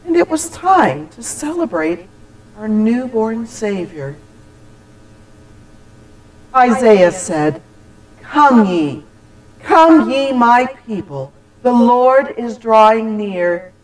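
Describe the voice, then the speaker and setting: A woman telling a scripted personal holiday story, with deliberate, expressive, dramatic phrasing. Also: a little high, rough recording. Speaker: church storyteller.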